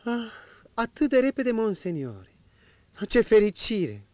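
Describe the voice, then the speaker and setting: A man's voice: high voice, big pitch swings, no echo, pitched above the performer's own voice. A man voicing an emotional young noblewoman in a dull lo-fi audiobook reading. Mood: eager.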